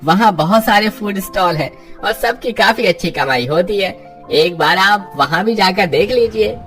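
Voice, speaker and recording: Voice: mid-range voice, animated, a little slow, fairly bright tone, faint music behind, pitched above the performer's own voice. A narrator voicing a helpful, chatty customer who speaks in a friendly, persuasive way. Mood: helpful, eager.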